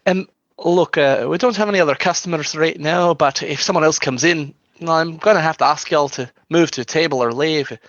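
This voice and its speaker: A man's voice: fairly high pitch, moderate pace, a bit bright, no room sound, highs cut off. A man acting in a comedy radio play as a friendly, mild bartender with a slightly hesitant manner. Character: friendly, easygoing bartender, gently firm.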